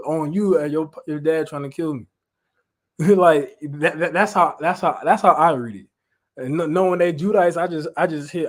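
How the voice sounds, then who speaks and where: A man with an American accent giving an informal Bible lesson, mixing reading aloud with slangy, conversational retelling and repeating phrases for emphasis. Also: fairly high pitch. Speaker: preacher.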